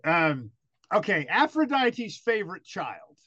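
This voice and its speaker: A man's voice: high voice, big pitch swings, takes it slowly, bright, forceful tone, no room sound. Rambling American man, slightly dull sound. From United States. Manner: rambling, hesitant, many fillers. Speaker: interviewer host. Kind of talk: casual interview chat.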